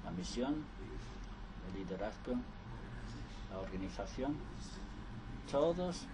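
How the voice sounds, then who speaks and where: A man interpreting conference speeches live, halting and restarting often. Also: lowish voice, big pitch swings, very noisy.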